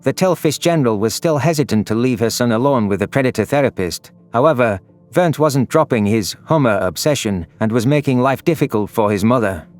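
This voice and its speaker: A man reads first-person science fiction narration in a medium-pitched voice. Faint music plays behind his scripted storytelling. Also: expressive, fairly quick. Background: background music.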